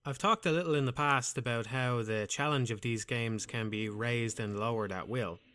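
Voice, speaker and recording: A man reading scripted game commentary in a calm, measured, dryly wry way with a soothing voice. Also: medium pitch.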